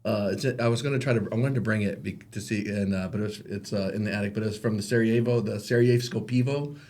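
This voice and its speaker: A man's voice: on the low side, fairly even intonation, fast talker, a little mellow. A man co-hosting a podcast in casual chat, rambling and trailing off through restarts. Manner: rambling, many fillers and restarts. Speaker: podcast co-host.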